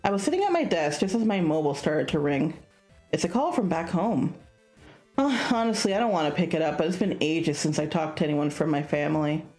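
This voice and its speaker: A woman reads a story game aloud in a casual, informal way. Her voice sits on the low side and moves with lively intonation. Music plays behind her. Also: fairly quick. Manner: informal, stumbles over unfamiliar names. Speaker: streamer reading a visual novel aloud. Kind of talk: casual let's-play read-aloud with character voices.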